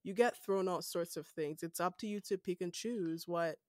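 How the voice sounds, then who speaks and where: A woman's voice: fairly low pitch, normal speed, dry recording, clean studio sound. A woman from Nigeria with a Nigerian accent, hosting a podcast and musing thoughtfully, with easy laughs. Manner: thoughtful, questioning, laughs easily. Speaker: podcast host. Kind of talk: casual conversation.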